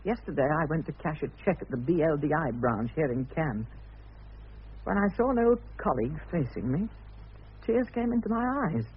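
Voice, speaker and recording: A man's voice: a little high, lively intonation, brisk. An actor playing a naive new millionaire in a radio comedy, earnest and hesitant, bumbling as he tries to please. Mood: earnest, self-important. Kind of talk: radio comedy drama acting. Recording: muffled, husky-sounding radio drama recording.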